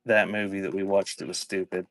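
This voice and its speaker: Low-pitched man chatting casually on a livestream. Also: level tone, fairly quick, fairly bright tone. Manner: informal, thinks aloud, trails off mid-sentence. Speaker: live online auction host selling cassette tapes. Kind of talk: casual rambling livestream chat.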